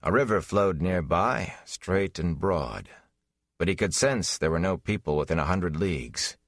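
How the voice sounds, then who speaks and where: A man narrating a fantasy audiobook in a solemn, measured, dramatic style. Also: low voice, expressive, dry recording, studio quality.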